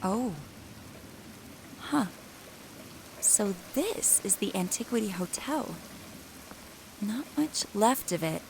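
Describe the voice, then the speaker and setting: A woman's voice: lowish voice, vocal fry, a little hiss or hum, rough recording. A woman playing a skittish, sardonic young college student in an audio drama, casual and hesitant, often muttering to herself. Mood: nervous muttering. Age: young adult. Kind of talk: naturalistic audio drama acting.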